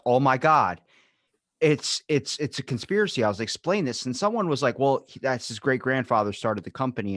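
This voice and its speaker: A man talks in a loose, joking podcast chat, quick to play up mock outrage. His voice has an average pitch for a man and is recorded very dry, with no room sound. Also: fairly bright tone, no room sound. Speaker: podcast host. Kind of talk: casual comedic chat.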